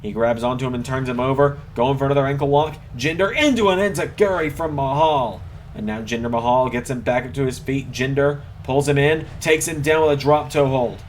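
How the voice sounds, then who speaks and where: A man commentating play-by-play on a wrestling video game as channel host, with announcer-like, drawn-out emphasis. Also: mid-range voice, a little hiss or hum. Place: voice-over recorded at a computer over gameplay.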